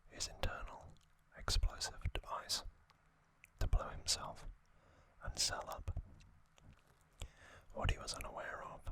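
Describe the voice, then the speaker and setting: An ASMR host whispering a story recap in hushed, deliberate short phrases. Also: low-quality recording.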